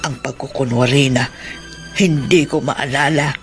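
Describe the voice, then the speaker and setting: A woman's voice: rumbling low, steady tone, measured pace, soft background music. An actress playing a hurt, stubborn elderly woman in a Filipino radio drama, speaking plaintively and self-pityingly. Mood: bitter, sad. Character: hurt, stubborn elderly aunt hiding at a senior center.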